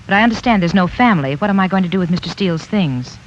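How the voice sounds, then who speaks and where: An actress playing a chatty, deferential young nurse in a 1940s radio drama. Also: female voice, fairly low pitch, expressive, racing, a little hiss or hum, lightly acted. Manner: deferential, gossipy. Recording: muffled 1940s radio broadcast.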